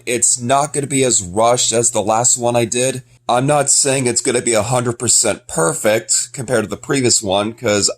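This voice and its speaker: A man speaking casually to viewers as an amateur creator making an announcement. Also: medium pitch. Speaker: amateur video creator and voice actor. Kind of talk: casual scripted-feeling vlog announcement.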